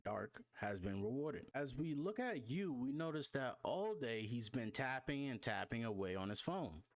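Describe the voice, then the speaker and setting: A man's voice: mid-range voice, expressive, moderate pace, no room sound. A man reading a comic recap aloud as a storyteller, acting out the dialogue as he goes. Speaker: manhwa recap narrator.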